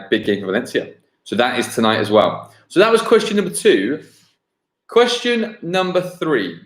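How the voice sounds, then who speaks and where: A man's voice: mid-range voice, a bit bright. A man hosting a live-streamed football quiz, repeating questions and chatting casually with viewers. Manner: repeats each question slowly and clearly, chats to viewers. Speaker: quiz host. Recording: roomy, echoing home livestream sound.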